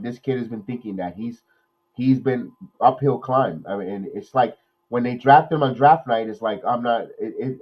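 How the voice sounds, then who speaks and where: A man, nasal from a cold, hosting a watch-along podcast, calling plays with excitement and then rambling through his opinions. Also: mid-range voice, muffled recording. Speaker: podcast host doing live play-by-play. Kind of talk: watch-along game commentary and chat.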